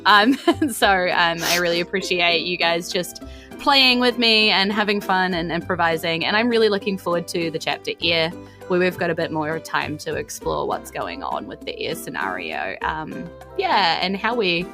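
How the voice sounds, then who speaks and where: A woman's voice: fairly low pitch, animated, normal speed, music in the background. A woman chatting informally and giggly as a podcast host. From New Zealand. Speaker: podcast host and game master. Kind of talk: casual podcast chat.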